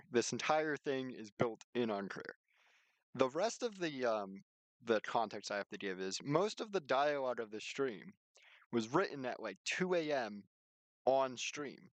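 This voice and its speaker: A man's voice: mid-range voice, no room sound. Casual male streamer, close dry sound. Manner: rambling, informal, slangy. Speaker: live streamer and game developer. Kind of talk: casual livestream chat and explanation.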